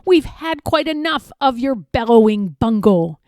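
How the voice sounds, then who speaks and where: A woman's voice: fairly high pitch, very animated, unhurried, a little mellow, dry recording, studio quality. A woman voicing a big, bossy female kangaroo, snappish and scornful, in a children's storybook read-aloud. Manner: snappish, haughty.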